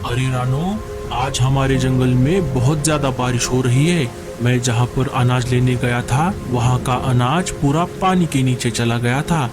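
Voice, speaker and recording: A man's voice: medium pitch, fairly even intonation, unhurried, music in the background, close to an everyday voice. A man voicing an earnest, fretful male forest bird in a cartoon, exclaiming and thinking aloud. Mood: concerned. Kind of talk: Hindi cartoon dialogue acting.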